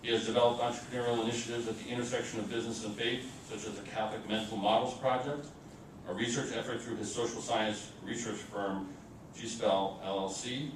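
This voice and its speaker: A man reading a formal, scripted introduction as moderator of an event, in a measured way. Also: fairly even intonation. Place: indoor event room. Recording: boomy echoing room, distant mic, noisy rumble.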